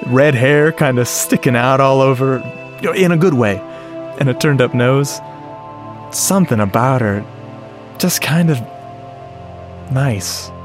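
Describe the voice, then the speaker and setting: A man playing a shy, earnest, nerdy sound-effects man, telling his story conversationally and haltingly in a radio drama, with a wistful manner. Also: mid-range voice, close to an everyday voice. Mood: wistful, smitten. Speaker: narrator and lead character. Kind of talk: first-person radio drama monologue. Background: music and sound effects.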